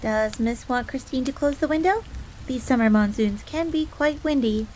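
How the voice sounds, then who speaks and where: Casting: actress for jealous, flustered fox servant girl, roleplay audio drama. Higher female voice, prim formal phrasing, faint rain noise behind. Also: normal speed, darkish tone, lightly acted. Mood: awkward, flustered. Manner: formal, refers to herself in third person. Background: rain on a surface.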